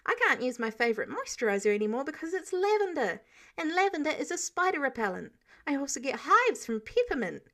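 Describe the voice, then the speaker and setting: A woman plays an earnest fifteen-year-old superhero boy in an audiobook. The voice sits high and jumps around with lively pitch. He rambles awkwardly and eagerly. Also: a little fast, exaggerated voice. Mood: excited, rambling. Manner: breathless rambling chatter. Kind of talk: fan fiction audiobook character voice.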